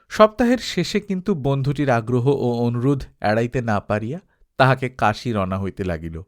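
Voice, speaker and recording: A man narrating a literary story from a script as an audiobook reader, in an expressive storytelling style. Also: medium pitch.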